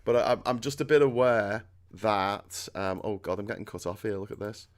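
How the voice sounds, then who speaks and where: A middle-aged man with a Scouse accent, hosting a casual chat in a loud, excitable way and laughing often. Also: lowish voice, moderate pace. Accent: Liverpool (Scouse). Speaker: host.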